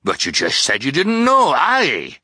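A man voicing a blustering, excitable ship captain who shouts and tacks "aye" onto everything. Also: on the high side, measured pace, slightly rough, no room sound, clean studio sound, voice pushed up, exaggerated voice. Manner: loud, exclaiming, tacks on "aye" constantly.

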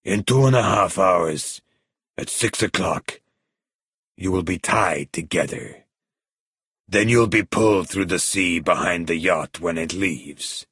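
A man voicing a calm, menacing crime boss in an audiobook, speaking softly and deliberately with cold authority. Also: low-pitched, slightly rough, dry recording, studio quality, full character voice. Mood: cold menace. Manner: soft, slow, deliberate menace.

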